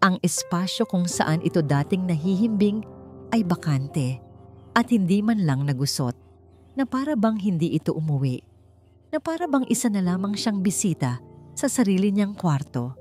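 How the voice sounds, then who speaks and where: Low-voiced woman narrating a dramatic story. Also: big pitch swings, normal speed, dark tone. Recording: music behind the voice. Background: background music.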